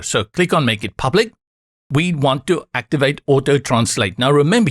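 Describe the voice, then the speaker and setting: A man whose first language is Afrikaans, calmly guiding viewers step by step through a software setup in a screen-recorded tutorial. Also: medium pitch, no echo, clean studio sound. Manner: calm, instructive, reassuring asides.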